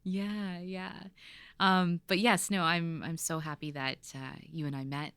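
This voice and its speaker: A woman's voice: on the low side, flat delivery, a little slow, clear, resonant tone, no room sound. A woman hosting a podcast interview in a studio, chatty and full of little fillers. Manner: warm, chatty, many fillers. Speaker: podcast host. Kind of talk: casual interview chat.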